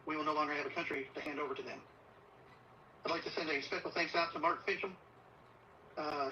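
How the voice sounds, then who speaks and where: Middle aged cop guy giving a serious video statement, really tense sharp voice, a bit high, faint noise behind him. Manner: solemn, deliberate, urgent appeal. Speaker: assistant police chief. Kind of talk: earnest prepared video statement. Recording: distant, muffled playback with faint noise. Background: faint background noise.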